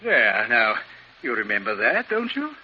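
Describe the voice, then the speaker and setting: An actor playing a fussy, high-strung science professor with a hesitant, exclamatory manner in a 1950s radio drama. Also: male voice, wildly swooping pitch, measured pace, slightly rough, full character voice. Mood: soothing. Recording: dull, noisy vintage radio recording.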